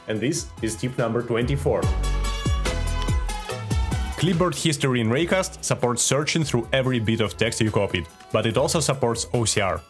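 A man explains a software feature in a friendly, straightforward way. Faint music plays underneath. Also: mid-range voice. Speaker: software engineer. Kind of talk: scripted product tip.

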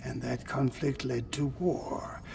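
A man's voice: medium pitch, fairly even intonation, slow pace, vocal fry, recorded from a distance. A man playing a solemn old android leader in a video game, speaking in a grave, cryptic, formal way. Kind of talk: cinematic video game dialogue.

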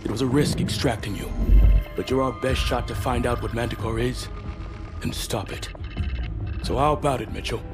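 An American actor playing a grave, resolute special-operations commander, speaking tersely and deliberately in a video game. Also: male voice, medium pitch, music in the background, natural voice. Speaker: military unit commander. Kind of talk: dramatic briefing in a game cinematic.

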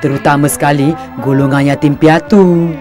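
A man's voice: fairly high pitch, fairly even intonation, normal speed, over music, lightly acted. A man with a Singaporean Malay accent voicing a caring, moralising mother in a cartoon, speaking instructively. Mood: gently admonishing. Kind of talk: cartoon dialogue.

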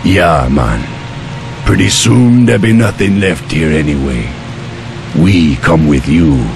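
An actor playing an earnest troll warrior in a fantasy game, gravelly. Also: male voice, extremely low pitch, lively intonation, takes it slowly, full character voice. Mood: resigned. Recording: voice over game music.

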